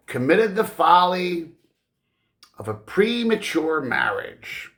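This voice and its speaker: A man reads as a condescending Victorian solicitor from an audiobook novel. He is pedantic and formal, very slow and deliberate, with big swoops of pitch and a bright, forceful edge. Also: sing-song, exaggerated voice. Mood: grave, admonishing. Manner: formal, wordy legalese delivered with weighty pauses.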